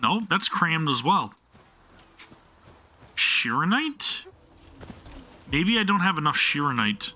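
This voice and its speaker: A man's voice: a little high, sing-song, slow, pauses often, sharp tone. A man live-streaming a game, talking to viewers and thinking aloud in a casual way, often questioning himself.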